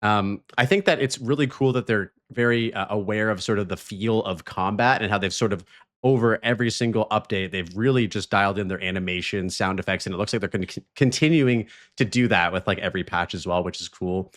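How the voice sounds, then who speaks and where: A man's voice: on the low side, brisk, fairly bright tone. A man hosting a podcast, talking in a loose, laid-back way. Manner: laid-back, loose, many fillers like "sort of". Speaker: podcast host. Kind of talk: casual podcast conversation.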